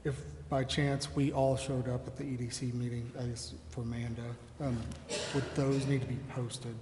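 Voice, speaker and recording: A man's voice: medium pitch, little pitch movement, normal speed. A man speaking as a council member, asking questions at a public meeting. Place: indoor council chamber. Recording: echoey chamber with clear background noise.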